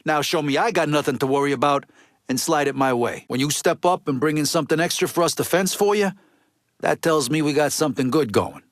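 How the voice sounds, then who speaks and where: A man's voice: on the high side, brisk, dry recording, close to an everyday voice. A hard-boiled gangster from a crime video game, acted by a man, speaks in a somewhat raised male register. He is blunt and bitter, with slangy, profane, streetwise phrasing. The sound is dry, with no room around the voice. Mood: businesslike, pressing. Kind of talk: video game character dialogue.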